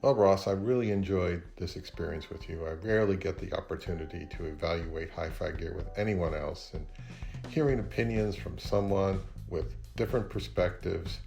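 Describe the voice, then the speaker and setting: A man's voice: low-pitched, creaky, darkish tone. A man speaking as the host of an audio review, interviewing and narrating.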